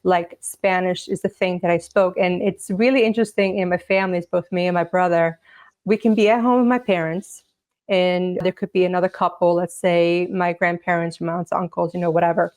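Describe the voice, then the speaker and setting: A woman's voice: on the low side, fairly even intonation, brisk, a little mellow. A woman guest whose first language is Portuguese, telling stories in a casual interview chat, full of "like" and "you know". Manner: chatty storyteller, lots of "like" and "you know". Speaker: podcast guest.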